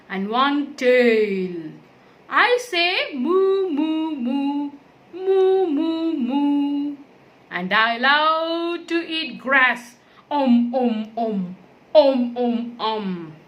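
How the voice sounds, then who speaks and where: A woman's voice: high-pitched, a bit bright, heavily acted. A woman voicing a cheerful, friendly cow puppet in a children's educational show, speaking eagerly in simple, repetitive phrases.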